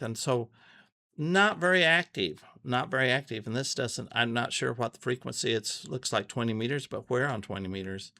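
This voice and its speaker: A man giving a casual, unscripted tutorial, thinking aloud haltingly and repeating phrases as he goes. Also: mid-range voice, vocal fry, fairly bright tone, dry recording, studio quality. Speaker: amateur radio tutorial host. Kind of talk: casual unscripted screen walkthrough.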